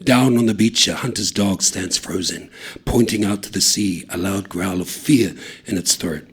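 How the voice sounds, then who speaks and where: A man reading a short story aloud in a steady, low-key narration. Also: normal speed, breathy edge.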